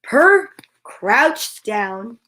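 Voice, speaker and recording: Upbeat woman hosting story time, higher-pitched voice. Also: big pitch swings, extremely slow, a bit bright. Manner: upbeat, gushing, encouraging. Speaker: host of a children's story time show. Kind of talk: live read-aloud mixed with chatty asides to viewers.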